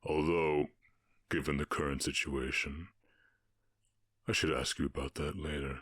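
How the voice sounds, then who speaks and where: A man voicing a calm, commanding orc warchief in an ASMR roleplay, with a husky voice and a measured, condescending, teasing manner. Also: no echo, clean studio sound, exaggerated voice. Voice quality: very deep, husky.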